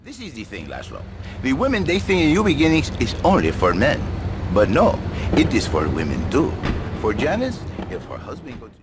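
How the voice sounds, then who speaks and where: An actor playing an indignant, threatening foreign radio guest speaking broken English in a game's satirical talk show. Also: male voice, medium pitch, wildly swooping pitch, normal speed, music in the background. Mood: insistent.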